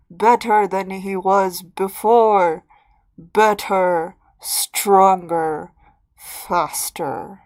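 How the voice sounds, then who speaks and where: Menacing metal-working mastermind, played by a woman, grandiose, mellow and low, drawn-out delivery, very dry sound. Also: dry recording. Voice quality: deep, deliberately lowered voice. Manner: grandiose, slow and deliberate. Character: brassy menacing mastermind who rebuilds men from metal. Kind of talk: dramatic fan fiction reading.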